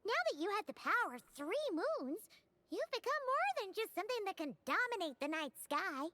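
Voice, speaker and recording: A woman's voice: extremely high pitch, big pitch swings, moderate pace, noisy background. A woman voicing a tiny, childlike fairy companion in a video game, chirpy and curious. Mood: encouraging. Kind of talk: scripted video game dialogue.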